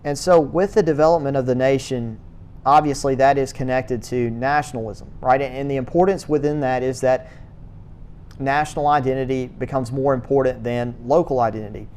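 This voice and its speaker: A man giving a church history lecture to a class, speaking in a halting way, restarting words and filling pauses with uh. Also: mid-range voice, steady tone, a little mellow, slight background noise. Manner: halting, repeats words, many uh fillers.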